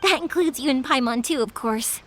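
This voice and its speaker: A woman's voice: high voice, normal speed, soft background music, natural voice. A young woman voicing a cheerful, easygoing, big-sisterly fireworks maker in a video game, chatty and friendly. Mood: friendly, upbeat. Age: young adult. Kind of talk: English video game character voice acting.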